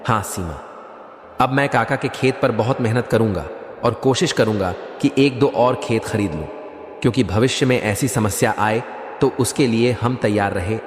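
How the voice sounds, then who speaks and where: A man playing an earnest, worried farmer in a cartoon moral story, speaking in a deliberate, explanatory way. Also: mid-range voice, lightly acted. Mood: hopeful. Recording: echoey, long reverb.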